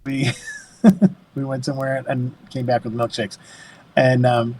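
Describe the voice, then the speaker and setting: A man in his fifties, a podcast guest, chatting casually and telling animated stories, laughing often and acting out what others said. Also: medium pitch, unhurried, rough recording. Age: 50s. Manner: animated storyteller, laughs often, acts out quotes. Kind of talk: casual chat.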